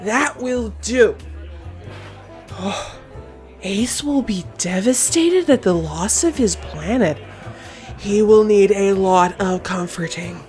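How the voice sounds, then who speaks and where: A woman's voice: medium pitch, animated, slow, darkish tone, soft background music. A woman playing a flamboyant, melodramatic, exclaiming female being in a comic sci-fi audio drama. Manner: theatrical, exclaiming.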